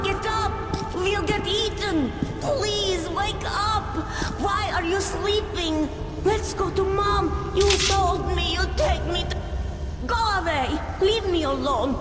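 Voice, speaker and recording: Small plucky child, high pitch, naive, earnest, game cutscene, long reverb, music behind. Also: female voice, slow pace. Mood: frightened, pleading, then upset, scared. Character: plucky, naive little child in monster-filled tunnels. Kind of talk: video game cutscene acting.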